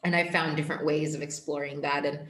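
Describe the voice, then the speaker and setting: A woman's voice: low-pitched, little pitch movement, fast talker, fairly bright tone, roomy sound. A young woman guest, an empowerment coach, talking casually and earnestly in a podcast interview, full of reflective filler words. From born in Portugal, raised in Ethiopia. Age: young adult.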